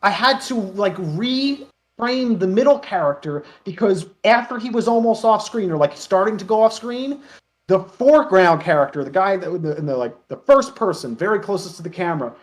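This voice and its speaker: Higher-pitched man chatting casually, animated storyteller. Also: moderate pace. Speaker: interview guest, web parody creator. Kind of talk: casual interview chat, anecdotes.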